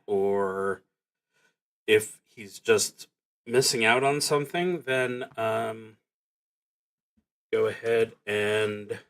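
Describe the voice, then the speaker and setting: Slow-paced, musing man. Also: lowish voice, fairly bright tone, low-quality recording. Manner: halting, musing, trails off mid-thought. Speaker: streamer playing a solo tabletop roleplaying game. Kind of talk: unscripted solo game play narration, thinking aloud.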